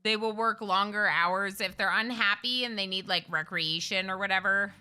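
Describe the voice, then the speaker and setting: A woman streaming a game live, chatty and excitable, talking to her characters as she plays at home. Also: medium pitch, moderate pace, clean tone. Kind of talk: live gameplay commentary.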